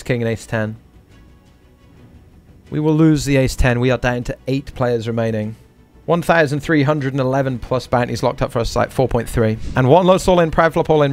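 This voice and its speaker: Man commentating live poker over music. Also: mid-range voice. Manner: talks through hands aloud, repeats short catchphrases. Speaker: poker streamer and host.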